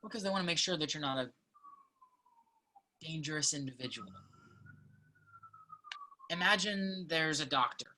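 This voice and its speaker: A woman plays an earnest college student in a naturalistic play reading. The voice is low for a woman and clearly bright. The delivery is thoughtful and measured, with small hesitations as the student reasons aloud. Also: low-quality recording.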